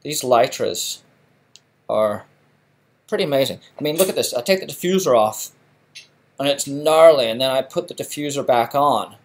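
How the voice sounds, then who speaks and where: A man's voice: medium pitch, moderate pace, pauses often, slight background noise. Chatty middle-aged man from England. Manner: relaxed and chatty, many fillers and restarts. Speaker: live stream host. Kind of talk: casual Q&A live stream. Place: home garage.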